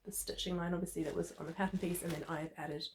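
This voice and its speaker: Female sewing vlogger, New Zealand accent, lower register, a touch hoarse with a cold, informal chatty delivery. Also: fairly even intonation, quick pace, slight background noise. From New Zealand, Christchurch. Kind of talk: casual sewing tutorial chat.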